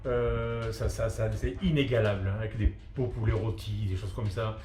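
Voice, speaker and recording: A man's voice: fairly low pitch, fairly even intonation, normal speed, a little mellow. Middle-aged Frenchman in an echoey room. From France. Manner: hesitant, repeats words while searching for phrasing. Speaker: restaurant cook and interview guest. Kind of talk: casual interview answers. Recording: echoey room with faint noise.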